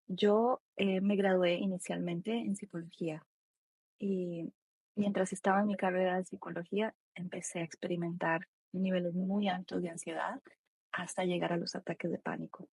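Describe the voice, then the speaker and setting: A Costa Rican woman speaks with a husky voice, close and dry in the recording. She is an expert guest, explaining things step by step in a reflective, conversational way. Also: on the low side, flat delivery, moderate pace, a little mellow, no room sound. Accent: Costa Rican Spanish. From Costa Rica. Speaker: expert guest, psychologist and author.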